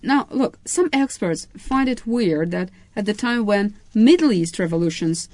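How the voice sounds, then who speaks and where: A woman's voice: mid-range voice, animated, measured pace, darkish tone, dry recording. Woman host posing scripted questions. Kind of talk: studio discussion.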